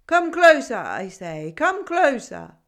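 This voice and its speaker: A woman voicing a lonely, blind old goat with a gruff, cobwebby voice and a fussy way of talking, in a read-aloud storybook. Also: high-pitched, big pitch swings, slow, a bit bright, pitched above the performer's own voice, heavily acted. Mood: calling out. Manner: fussy, keeps asking "yes, yes?". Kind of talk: storybook reading.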